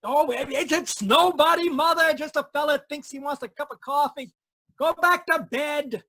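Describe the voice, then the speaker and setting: A woman's voice: sits high, moderate pace, sharp tone, no echo, clean studio sound. A woman playing a cranky, suspicious rural stand keeper in an old-time radio drama, scolding in a folksy way. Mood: dismissive. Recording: live video-call performance.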